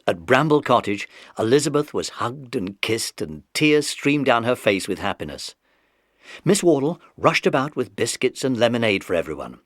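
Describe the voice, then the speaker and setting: A man's voice: mid-range voice, no room sound, studio quality. A man narrating a children's story as the narrator of an audiobook dramatization.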